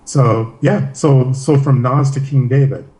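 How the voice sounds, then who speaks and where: Jamaican-born guy raised in toronto, faint jamaican accent, low-key and humble. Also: fairly even intonation, unhurried, mellow, poor audio. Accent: slight Jamaican. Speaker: poet and guest. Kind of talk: casual interview chat.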